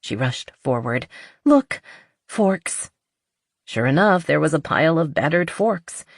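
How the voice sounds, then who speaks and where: A woman's voice: low-pitched, lively intonation, normal speed, gravelly, no echo, studio quality. A woman reading a romance novel aloud as a scripted audiobook narration.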